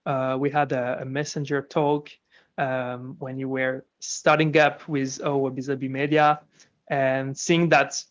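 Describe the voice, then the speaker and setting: A man's voice: mid-range voice, flat delivery, unhurried, highs cut off. Hesitant male host, filler-heavy. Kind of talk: interview hosting.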